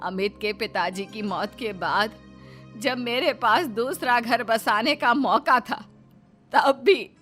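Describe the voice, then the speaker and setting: Woman voicing old widowed mother, wounded yet defiant, impassioned, forceful high-ish voice, family story drama. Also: full character voice. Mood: hurt, impassioned. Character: wounded, determined elderly widowed mother wanting to remarry. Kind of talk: melodramatic Hindi family story acting.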